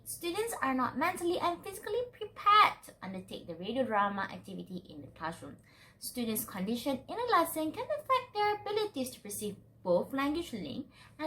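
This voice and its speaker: A woman's voice: high voice, fairly bright tone, a little hiss or hum, low-quality recording. A young Malaysian woman reading a scripted student presentation in a formal, careful manner.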